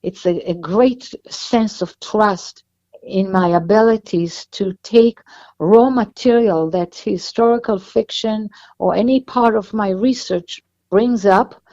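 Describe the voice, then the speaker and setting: A woman's voice: medium pitch, takes it slowly, mellow, lo-fi sound. A woman with an Israeli accent, an author guest talking at length in an interview. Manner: chatty, explains at length with restarts.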